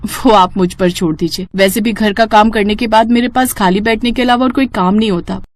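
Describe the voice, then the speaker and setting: A woman voicing an earnest, determined young wife who speaks softly and respectfully in a family story. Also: mid-range voice, steady tone, brisk, no room sound, studio quality, lightly acted.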